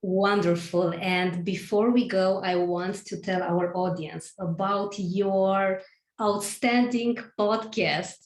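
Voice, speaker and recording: Roomy video call audio, woman host, middle-aged, talks slow and effusive. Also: mid-range voice, fairly even intonation. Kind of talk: earnest interview hosting on a video call. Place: indoor room at home. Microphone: video call, sitting back from camera. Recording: roomy, echoing home room.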